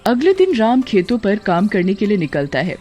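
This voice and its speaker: Female narrator, scripted cartoon storytelling, mid-range pitch, background music. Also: wildly swooping pitch, moderate pace, mellow.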